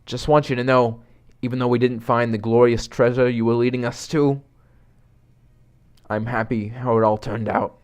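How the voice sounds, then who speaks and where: A man voicing an insecure, emotional slacker dude in a comic story, talking in halting, self-deprecating slang. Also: mid-range voice, little pitch movement, darkish tone, clear, resonant tone, natural voice. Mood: heartfelt. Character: slacker hot-dog-obsessed male would-be friend, insecure, emotional, dude-ish.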